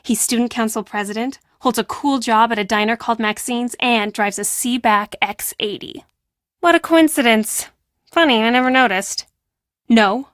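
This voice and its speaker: A woman playing a brash, defensive teenage girl who works as a high school hall monitor in a video game, with a sassy, dismissive and emphatic delivery. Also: normal speed, fairly bright tone, clean studio sound, lightly acted. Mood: admiring. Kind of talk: acted video game dialogue.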